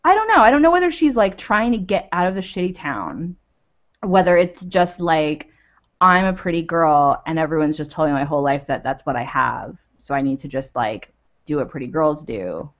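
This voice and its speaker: A woman's voice: low-pitched, expressive, moderate pace. A woman co-hosting a podcast, talking casually about a TV show and thinking aloud with lots of "like"s. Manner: rambling, thinks aloud with many "like"s. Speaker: podcast co-host. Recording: dull lo-fi audio.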